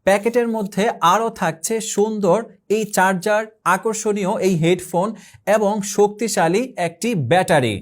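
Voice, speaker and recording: High-voiced man reading a sales pitch. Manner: brisk listing of features and uses. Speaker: product promoter. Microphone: handheld phone shown on camera.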